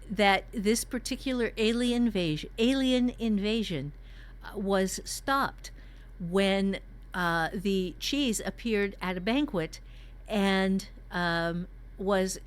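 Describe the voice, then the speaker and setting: A woman hosting a writing workshop, chatting casually as she shares story ideas. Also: mid-range voice.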